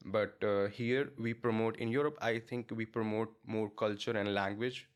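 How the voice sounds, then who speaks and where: Guest interviewee: young Indian man, Indian accent, low-ish voice, halting and friendly. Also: fairly even intonation, clean tone. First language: not English. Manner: hesitant, many fillers and restarts, laughs easily. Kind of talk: casual interview chat.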